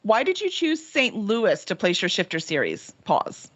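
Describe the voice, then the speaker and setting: An upbeat woman hosts the interview with quick, lively reactions and a pitch that moves a lot. Her voice is breathy, and the recording sounds slightly muffled and dry. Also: dry recording. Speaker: interview host. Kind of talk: casual interview chat.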